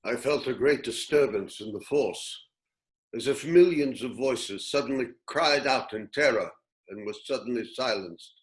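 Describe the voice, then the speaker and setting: A man's voice: mid-range voice, a little slow, natural voice. A man playing a grave, wise old mentor, solemn and instructive, in a scripted reading. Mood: troubled. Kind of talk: scripted table read acting.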